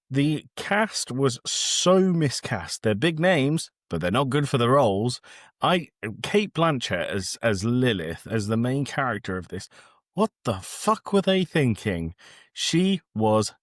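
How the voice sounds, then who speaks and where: A man's voice: fairly low pitch, dry recording, clean studio sound. A man co-hosting a film podcast, chatting casually in a blunt, sweary, emphatic way. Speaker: podcast co-host. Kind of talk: casual film review chat.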